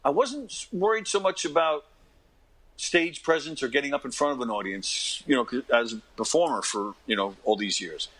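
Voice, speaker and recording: An American man in his fifties, an interview guest, talking casually in a rambling, self-deprecating way and often clearing his throat. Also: medium pitch, animated, moderate pace, fairly bright tone, poor audio. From Long Island, New York, United States. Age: 50s. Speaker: interview guest, actor and comedy performer. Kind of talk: casual conversational storytelling.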